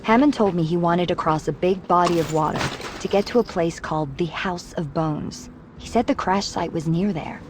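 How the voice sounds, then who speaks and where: Woman playing a low-pitched, tough mutant scavenger. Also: normal speed, noisy background, natural voice. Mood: earnest. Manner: dry, self-assured. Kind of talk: video game character dialogue. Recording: voice under game music.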